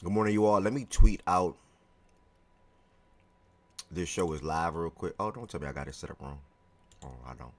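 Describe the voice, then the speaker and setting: A man hosting a live sports talk stream, chatty and relaxed, greeting viewers by name, his voice a little hoarse. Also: low-pitched, normal speed, long pauses. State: slightly hoarse from yelling the day before. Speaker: live show host.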